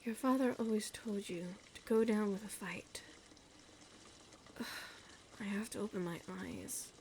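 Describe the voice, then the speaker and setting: A woman voicing a young, anxious but stubborn mermaid in an ASMR audio roleplay, murmuring haltingly to herself. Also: mid-range voice, darkish tone, lightly acted. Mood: weary, struggling. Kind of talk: ASMR audio roleplay, breathy dramatic acting. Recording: clear background ambient noise.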